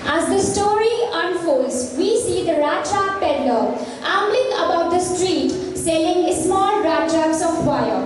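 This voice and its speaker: A woman narrates a play slowly in an extremely high voice. Her scripted delivery is heard through loud noise in an echoing hall. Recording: echoey hall, far mic, very noisy.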